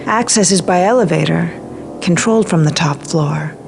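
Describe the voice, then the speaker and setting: An actress playing a grave, composed and mysterious woman ally in a video game, speaking in a measured, formal way. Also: fairly low pitch, gravelly, darkish tone, soft background music. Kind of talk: video game cutscene acting.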